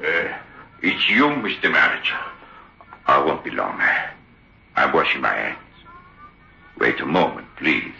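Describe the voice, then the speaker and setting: Very deep, gravelly ventriloquist. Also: male voice, very animated, a little slow, pauses often, bright, forceful tone, exaggerated voice. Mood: haunted, weary. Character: despairing, haunted foreign male stage ventriloquist. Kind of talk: radio horror drama acting. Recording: muffled old radio recording, faint noise.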